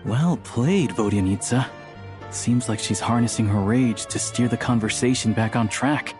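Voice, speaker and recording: A man's voice: medium pitch, normal speed, over music, close to an everyday voice. A man playing a watchful, wry onlooker who comments dryly in scripted video game dialogue.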